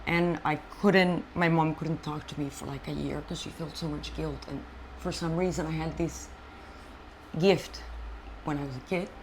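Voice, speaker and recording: Woman, from Colombia, native Spanish speaker, halting and animated, lowish voice, light background hiss. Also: rough recording. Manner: animated, halting, many fillers and restarts, frank. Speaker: interview guest. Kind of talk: personal storytelling in conversation.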